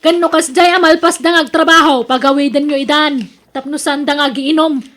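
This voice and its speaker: A woman's voice: sits high, fairly even intonation, normal speed, a bit bright. A woman with an Ilocano accent playing a jealous, nagging wife in a radio drama, accusing and sarcastic. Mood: scolding. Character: jealous, nagging, suspicious wife of a working man.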